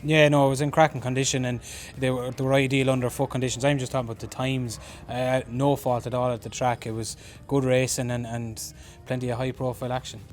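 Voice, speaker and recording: This Irish man is a racing pundit who speaks in a flat, even tone. His analysis is measured and unhurried, and he often leads into a point with "look". His voice sits at a middle pitch for a man. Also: soft background music. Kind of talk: race analysis chat.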